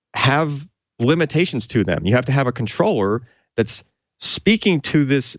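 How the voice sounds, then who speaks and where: Low-voiced man explaining tech, dry muffled sound. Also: no echo. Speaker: tech show co-host and hardware reviewer. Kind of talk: casual technical explanation.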